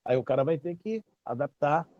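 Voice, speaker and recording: A man's voice: a little high, expressive, moderate pace, no echo, lo-fi sound. A Brazilian man in his fifties, a babalawo explaining his tradition in casual talk, emphatic and repeating phrases for stress. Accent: Brazilian Portuguese. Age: 50s. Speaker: babalawo teacher.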